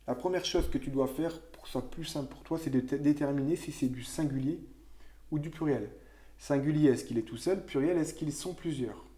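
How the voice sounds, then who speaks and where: A man's voice: a little high, fairly quick, mellow. A man teaching French grammar to children in a conversational way, thinking aloud and correcting himself as he goes.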